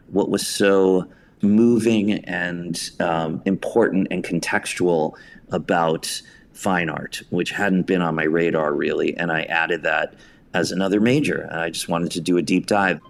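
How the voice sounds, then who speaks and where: An American man, a guest theatre writer and director, talking reflectively and with emphasis as he tells anecdotes in a conversational interview. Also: sits low.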